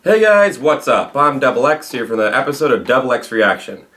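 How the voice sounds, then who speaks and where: A man's voice: mid-range voice, sing-song, fairly quick, music in the background. A man hosting a reaction video with casual, informal commentary on a parody cartoon.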